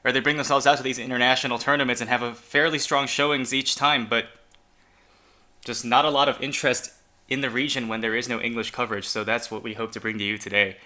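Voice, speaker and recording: Very bright-voiced male esports caster. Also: medium pitch, flat delivery, quick pace. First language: Chinese. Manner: announcing players one by one, casual and chatty. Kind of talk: fan esports commentary.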